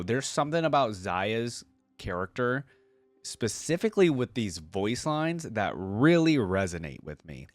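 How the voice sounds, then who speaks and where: A man's voice: mid-range voice, no room sound. A man hosting a reaction video, talking casually and off the cuff about what he hears.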